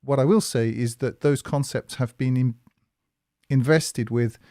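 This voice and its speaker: Hesitant, measured man with a mellow voice. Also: medium pitch, dry recording, clean studio sound. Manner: measured, hesitant, many fillers and restarts. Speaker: commentary channel host. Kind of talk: spoken commentary monologue.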